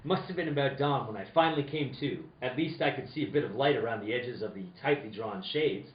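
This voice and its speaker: A man's voice: medium pitch, lively intonation, fast talker, pitched below the performer's own voice, natural voice. A man acting as a hard-boiled, earnest insurance investigator in an old-time radio detective play, with theatrical, slightly stumbling reading. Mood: wry narrating. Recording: roomy, dull, slightly noisy distant recording.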